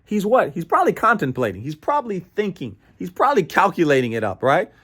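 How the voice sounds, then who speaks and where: A man's voice: high voice, huge pitch swings, fast talker, fairly bright tone, husky. An American man presenting a motivational training talk in an emphatic, playful way, repeating phrases and often checking in with "right?". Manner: playful asides and chuckles.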